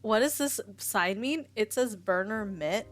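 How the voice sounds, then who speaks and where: A woman's voice: mid-range voice, animated, a little slow. A young woman with a Midwestern American accent, chatting casually with her stream viewers, giggling and rambling as she reads chat aloud. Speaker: Twitch streamer. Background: faint music.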